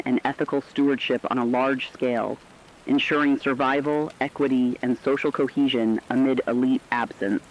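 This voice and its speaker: Guy with medium pitch reading formal news, dull lo-fi radio sound. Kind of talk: scripted news narration.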